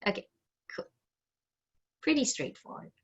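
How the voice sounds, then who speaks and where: A woman's voice: measured pace, highs cut off. A woman giving an orientation talk on a video call, conversational with many fillers, her phrases trailing off. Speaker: chamber staff presenter.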